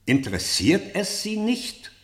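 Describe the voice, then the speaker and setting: A stern, insistent interrogator in a radio drama. His questioning is formal and accusatory, with strongly varied pitch, and it rings in a roomy, echoing space. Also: big pitch swings, a little slow. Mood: cool, probing. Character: stern, insistent male interrogator pressing a murder suspect. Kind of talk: German radio play acting.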